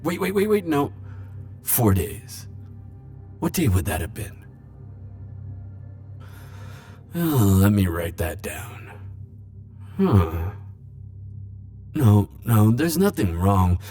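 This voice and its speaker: Man playing low, slow, flirty immortal charmer. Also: big pitch swings, warm tone, soft background music. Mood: absent-minded, chatty. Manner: coy, theatrical, drawn-out teasing phrasing. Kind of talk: ASMR roleplay audio acting.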